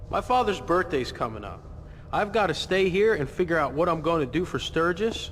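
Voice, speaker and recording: A man's voice: a little high, sing-song, normal speed, fairly bright tone. A man playing a put-upon son working in his father's bike shop in a video game, casual and explanatory. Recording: reverberant, faint background noise.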